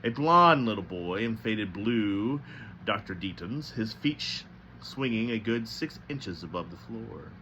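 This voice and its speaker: A man reading a novel aloud as narrator, with a slightly halting delivery that stumbles now and then. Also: lowish voice, lively intonation, sharp tone, highs cut off, a little hiss or hum. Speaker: storybook reader.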